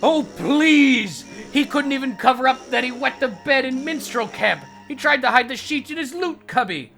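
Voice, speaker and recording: A man's voice: very high voice, animated, moderate pace, vocal fry, bright, forceful tone, faint music behind, exaggerated voice. A man voicing a pompous, vain middle-aged radio psychiatrist in a comic fandub, with haughty, theatrical, ornate diction. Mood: boastful outrage.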